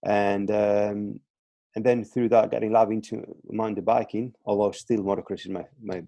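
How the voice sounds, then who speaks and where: A man's voice: lowish voice, little pitch movement, moderate pace, creaky, mellow. A man in his thirties from Greece with a Greek accent, speaking English as a second language as a coach guest on a podcast, hesitant with repeated words and fillers. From Greece (Crete). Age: 30s. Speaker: strength and conditioning coach, guest. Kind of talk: casual podcast interview.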